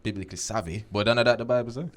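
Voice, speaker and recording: A man's voice: very fast, no room sound. Playful Jamaican radio host man. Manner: playful, joking, animated swings in pitch. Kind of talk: casual radio talk show chat.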